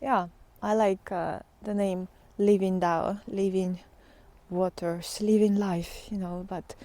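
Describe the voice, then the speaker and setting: A woman giving a casual, unscripted spiritual monologue in a reflective, halting way, often trailing into pauses. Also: mid-range voice, steady tone, dark tone. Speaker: spiritual teacher vlogger.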